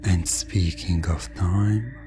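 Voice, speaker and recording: A narrator giving a hypnotic ASMR roleplay in a deliberate, repetitive way, sometimes slipping into a near-whisper. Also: deep voice, over music. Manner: deliberate, repetitive phrasing with lingering pauses.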